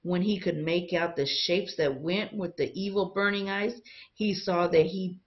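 A woman teacher reading a picture book aloud to young students in an expressive storytelling style. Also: on the low side, measured pace, muffled recording.

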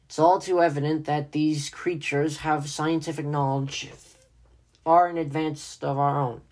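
A man playing a learned professor in a radio play, speaking in a pedantic, deliberate way. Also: on the high side, flat delivery, a little mellow, lightly acted. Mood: grave, scholarly. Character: learned male scientist professor giving grave eyewitness conjecture.

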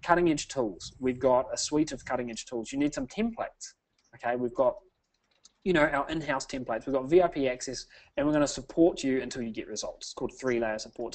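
A man's voice: medium pitch, poor audio. A man hosting a sales webinar, talking in an upbeat salesy way and often tagging "okay?". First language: English. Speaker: webinar host.

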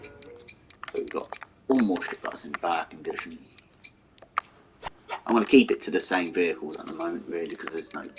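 A man with a hoarse, croaky voice, mumbling casual commentary over a strategy game and talking to its vehicles. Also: deep voice, moderate pace, pauses often, poor audio. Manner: mumbling, talks to the game's vehicles. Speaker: gaming channel host. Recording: game music behind voice.

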